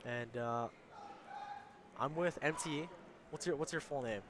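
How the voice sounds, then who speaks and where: A man's voice: mid-range voice, unhurried, noisy background. A man interviewing casually at an esports event, his voice a little worn from losing it. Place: large indoor gaming event venue.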